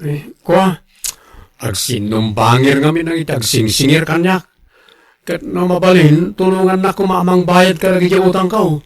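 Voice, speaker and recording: A man's voice: high-pitched, a little slow. A man with a northern Philippine accent playing a hesitant, debt-ridden husband in a radio drama. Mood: pleading, hurt. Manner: hesitant, pleading.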